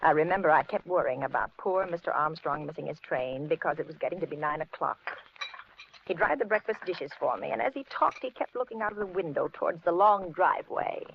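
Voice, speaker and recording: An actress playing a fussy, kindly, middle-aged landlady in a 1940s radio suspense drama, chatty and gently scolding. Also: low voice, lively intonation, brisk, vocal fry. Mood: reminiscing. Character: fussy, kindly older landlady recalling her story. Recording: muffled old radio recording, light noise.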